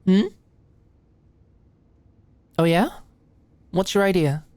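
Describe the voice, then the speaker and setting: An anxious young female study buddy acted by a woman speaks at a slow pace in a slightly low voice, very dry and close. She is a flustered medical student, stammering and hesitant yet playfully defensive, in an intimate ASMR roleplay. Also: darkish tone, dry recording. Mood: awkward, earnest. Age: young adult.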